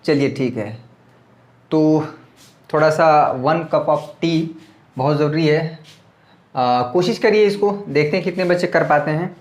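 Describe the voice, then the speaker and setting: A man's voice: a little high, unhurried, long pauses, roomy sound. A man teaching a maths lesson at a board with a slightly sore throat, talking casually and often checking that students follow. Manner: chatty, repeats checks like "clear?" to students.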